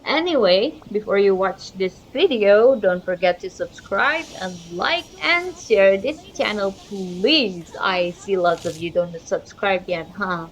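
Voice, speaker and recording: A woman hosting a gaming channel, chatting casually and excitably to viewers and sometimes to herself. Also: mid-range voice, slow pace, few pauses, slight background noise. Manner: informal, excitable, talks to herself. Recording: dull lo-fi mic over game music. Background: game music.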